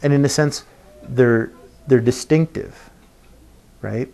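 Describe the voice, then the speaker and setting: A man with a gravelly, creaky voice teaches scripture in an informal sermon. His pitch sits in a middle range for a man. He works through his thoughts haltingly, pausing to rephrase and often tagging points with a questioning check. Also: dark tone. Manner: halting, thinking aloud, often adds "right?" to check. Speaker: preacher teaching a Bible passage.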